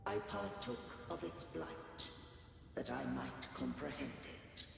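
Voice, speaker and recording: A man's voice: mid-range voice, vocal fry, heavily acted. An actor playing an ancient, solemn male guardian of a fallen civilization in a video game, with grave, stately, archaic speech. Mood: grave, reflective. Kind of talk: dramatic video game voice acting. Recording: long echoing reverb, music underneath. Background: game music.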